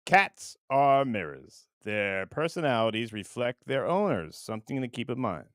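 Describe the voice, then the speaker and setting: A man hosting a casual livestream, rambling and halting as he chats with viewers in a crude, jokey, profane way. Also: medium pitch, big pitch swings, no echo, studio quality. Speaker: livestream host.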